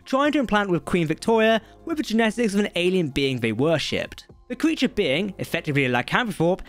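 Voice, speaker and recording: Male narrator, high and very lively, faint music under the voice-over. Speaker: video narrator. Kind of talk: scripted fan-channel narration.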